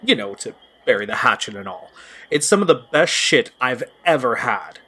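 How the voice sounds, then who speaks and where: Man acting a stoned young male partygoer, friendly, cocky, slangy, very animated pitch, audio drama. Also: normal speed, breathy edge. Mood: friendly, offering.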